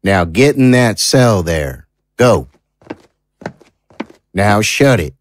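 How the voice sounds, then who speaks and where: A man playing a gruff, no-nonsense small-town law officer in an audio drama, talking bluntly and urgently in a folksy way. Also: on the low side, expressive, extremely slow. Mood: threatening. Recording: noisy background ambience.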